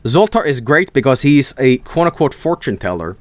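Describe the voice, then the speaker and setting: Rambling guy streamer, lively voice. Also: medium pitch, normal speed. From Sweden. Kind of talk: casual storytelling stream.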